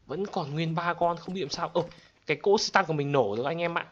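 A man with a high voice commentates on his gameplay for viewers. His delivery is excitable and exclamatory, addressed to the audience like friends. Clear game noise, with gunshots and clicking, sits behind him. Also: fairly quick, dull audio. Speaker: gaming video host. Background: game gunshots, explosions and clicks.

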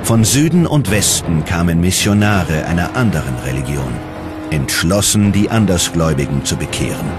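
A man's voice: deep voice, expressive, normal speed. A man delivering scripted documentary narration in a dramatic storytelling manner. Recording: prominent music under the narration. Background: loud background music.

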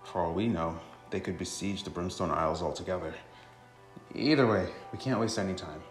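A man's voice: sits low, a little fast, reverberant, faint music behind. A man reading the dialogue of a story game aloud as a player.

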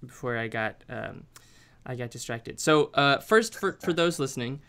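A man's voice: mid-range voice, lively intonation, moderate pace, gravelly, a bit bright, smooth. An American man hosting a podcast, talking casually and rambling with many restarts. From United States. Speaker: podcast host.

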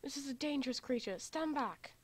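Confident, blunt anime young man, played by a woman, very high register, amateur fan-drama delivery. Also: lively intonation, fairly quick, dry recording. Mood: brash. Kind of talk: amateur fan dub roleplay.

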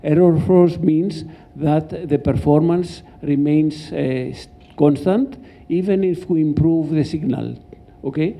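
Older Greek-accented male lecturer, gravelly. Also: a little high, slow pace, hardly any pauses, warm tone. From Greece. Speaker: professor lecturing. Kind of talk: academic slide lecture. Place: indoor lecture hall. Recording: dull, muffled lecture-hall recording.

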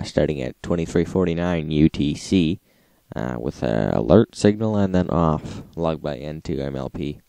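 A man with a gravelly voice hosting an informal recap, reading out logs in a flat, list-like manner. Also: very deep voice, no room sound. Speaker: host reading radio logs. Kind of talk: informal log recap narration.

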